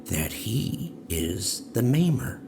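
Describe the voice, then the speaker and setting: A man playing a calm, cryptic, repentant old prisoner in an audio drama, speaking with measured, quiet insistence in a gravelly voice. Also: mid-range voice. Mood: calm, insistent. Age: older. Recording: noisy ambience behind the voice.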